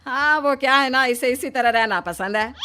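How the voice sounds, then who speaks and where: A woman voicing a good-natured older mother-in-law, homely and gently embarrassed as she explains. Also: sits high, moderate pace, slight background noise, voice pushed up. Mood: sheepish.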